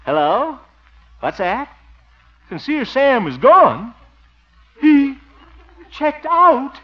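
A man's voice: very high-pitched, sing-song, extremely slow, full character voice. An actor playing a flustered, bumbling small-town man in a 1950s radio sitcom, with theatrical exclamations and exasperated muttering. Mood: alarmed. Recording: muffled vintage 1950 radio broadcast.

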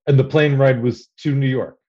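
Mellow man hosting over dull line. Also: medium pitch, steady tone, moderate pace. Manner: conversational, asks with restarts. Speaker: podcast host. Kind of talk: interview hosting.

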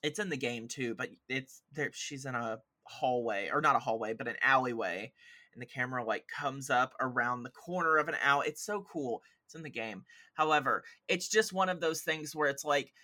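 A man's voice: medium pitch, normal speed, bright, forceful tone. A man chatting casually about films as a podcast guest, opinionated, emphatic and effusive, with rambling asides. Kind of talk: casual film chat.

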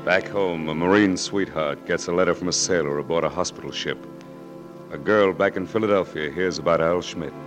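Male narrator, solemn dramatic delivery, lowish voice, orchestral music behind. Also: brisk. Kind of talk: 1940s radio drama narration. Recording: 1940s radio broadcast with music.

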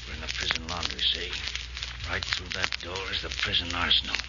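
Man playing a low-voiced tough convict ringleader. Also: fairly even intonation, brisk, heavy background noise, close to an everyday voice. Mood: hushed, menacing. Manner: terse, commanding gangster talk. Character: tough bossy convict leading a prison breakout. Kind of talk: 1940s radio acting. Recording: muffled old radio recording with music.

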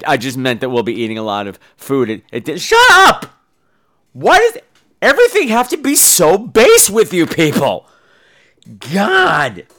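A man's voice: a little high, pushed and bright, clean tone. A man hosting a podcast in a casual comedic monologue, with a campy, theatrical and emphatic delivery. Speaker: podcast host.

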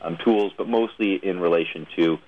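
A man hosting a radio show, asking questions in a casual, halting way and often rephrasing as he goes. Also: low-pitched, narrow, tinny audio. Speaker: radio show host interviewing a guest. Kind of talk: casual interview.

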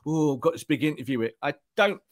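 A man who is a football journalist, co-hosting a podcast in a relaxed, conversational way. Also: fairly high pitch, a little fast. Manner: relaxed, conversational, laughs easily. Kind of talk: casual football podcast chat.

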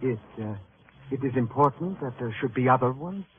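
A man's voice: medium pitch, natural voice. Man playing a vain, morbid photographer on tinny radio. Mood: proud, eager. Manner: theatrical, savoring, halting with excitement. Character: eccentric male crime photographer, vain, morbidly rapturous artist. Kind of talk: 1950s radio drama acting. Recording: tinny, faintly noisy old radio recording.

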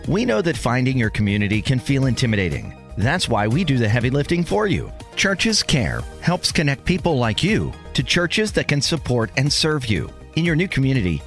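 A man's voice: mid-range voice, gravelly, a bit bright. A man reading a scripted radio advertisement as an announcer. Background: faint music.

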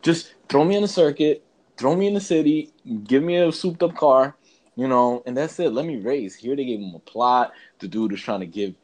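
A man's voice: medium pitch, normal speed, a little mellow. A man co-hosting a podcast, chatting casually in a slangy, rambling way and circling back to agree. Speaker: podcast co-host. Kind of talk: casual movie chat.

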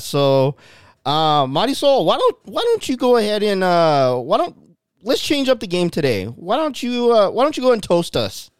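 A man with a smooth, resonant voice chats loosely on a podcast, dropping curse words and plenty of ums. His voice is close and dry, with no room sound around it. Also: on the high side, no room sound. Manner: loose, swearing, rambling with fillers. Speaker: podcast co-host. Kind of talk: casual podcast chat.

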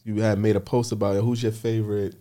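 A man's voice: lowish voice, flat delivery, quick pace, warm tone. A man hosting a casual podcast, asking questions with frequent restarts and slang. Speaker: podcast host.

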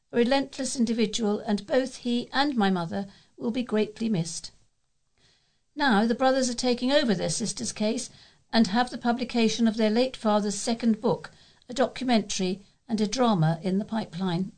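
Higher-pitched woman reading news. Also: a little mellow. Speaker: volunteer newsreader.

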